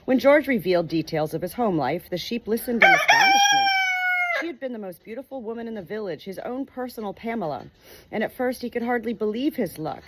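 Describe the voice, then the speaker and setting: A woman reading a story aloud as an audiobook narrator, expressive and measured, with deliberate pauses. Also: a little high, noisy background. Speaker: audiobook reader.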